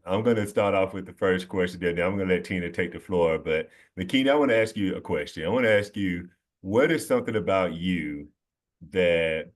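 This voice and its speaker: Deep voiced guy hosting a chill talk show, lots of ums, laughs easy. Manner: friendly, hesitant with fillers, laughs often. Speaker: host. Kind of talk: casual conversation.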